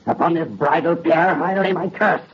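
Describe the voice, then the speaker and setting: Bitter servant loyal to a dead master played by a man, declamatory menace, higher-pitched, dull vintage radio sound. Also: expressive, normal speed, natural voice. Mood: venomous. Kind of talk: 1930s radio horror acting. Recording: muffled old radio recording, faint noise.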